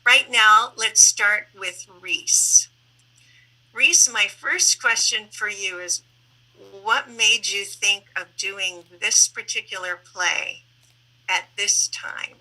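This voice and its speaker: Bright-voiced woman hosting, slow pace. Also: medium pitch, low-quality recording. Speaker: host and moderator, library staff member. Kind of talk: introducing a panel and asking questions. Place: online video call. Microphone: video call microphone.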